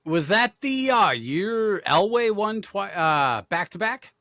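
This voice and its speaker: A man's voice: high-pitched, sing-song, takes it slowly, a bit bright, no echo. A man from Denver hosting a casual gaming podcast, rambling and upbeat, with lots of fillers and restarts. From Denver, USA. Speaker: podcast host.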